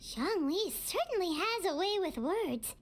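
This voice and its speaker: A woman voicing a tiny, chirpy, candid childlike fairy companion in a video game, with squeaky, exclamatory delivery. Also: measured pace, slight background noise, full character voice. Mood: admiring. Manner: exclamatory, expressive.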